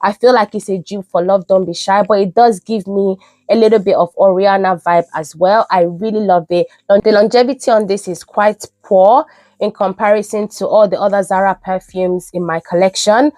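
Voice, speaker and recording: A woman's voice: medium pitch, steady tone, moderate pace, dark tone, dry recording. A young woman who sounds nasal from a cold, chatting enthusiastically as a beauty vlogger reviewing products. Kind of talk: casual product review vlog. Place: UK home.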